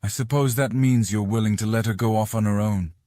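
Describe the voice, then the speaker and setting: Casting: male actor as stubborn protective fantasy brother, lowish voice, firm, guarded, dry studio sound. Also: steady tone, brisk, warm tone, dry recording, close to an everyday voice. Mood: exasperated. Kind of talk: audiobook drama acting.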